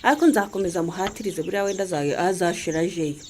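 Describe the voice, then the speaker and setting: An actress playing a caring, resigned village mother in a radio drama, expressive and full of interjections. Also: fairly low pitch, rapid-fire, natural voice. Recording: faint background noise with birdsong. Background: birdsong.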